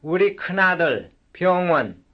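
Man, drill instructor, slow measured cues, fairly high voice, muffled lo-fi sound. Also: big pitch swings. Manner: slow, measured cue words, one at a time. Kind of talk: reading substitution drill cues. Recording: dull lo-fi recording.

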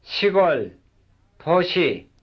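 Slow, sing-song male drill instructor. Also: high-pitched, very animated, breathy edge. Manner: slow, measured cue words, one at a time. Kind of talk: reading substitution drill cues. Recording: dull lo-fi recording.

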